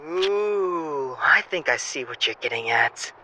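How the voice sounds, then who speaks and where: A young man playing a flippant, self-absorbed exchange student, evasive and excitable, in an audio drama phone call. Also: fairly high pitch, slow pace, full character voice. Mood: flippant, playful. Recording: noisy phone-call style recording.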